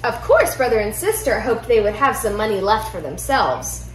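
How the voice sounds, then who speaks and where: A young woman reads a children's picture book aloud with expressive storytelling in a living room. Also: a little high, normal speed. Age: young adult. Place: indoor living room at home. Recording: roomy echo, music behind.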